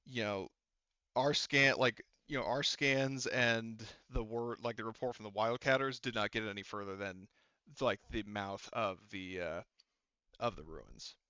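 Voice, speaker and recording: Man as wry, careful female expedition leader in a roleplaying game; clear, dry sound, halting matter-of-fact talk. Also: fairly low pitch, pushed and bright, no room sound, muffled recording. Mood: concerned.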